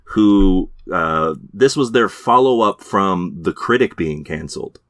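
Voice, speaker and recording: A man's voice: sits low, lively intonation, a little slow, dry recording, studio quality. A man co-hosting a podcast, chatting casually about TV shows with many fillers and restarts. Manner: hesitant, lots of fillers and restarts. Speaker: podcast co-host. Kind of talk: casual chat reviewing TV shows.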